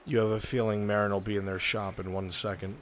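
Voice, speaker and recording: A man's voice: lowish voice, level tone, fast. A man acting as game master of a live roleplay, directing players and narrating what happens.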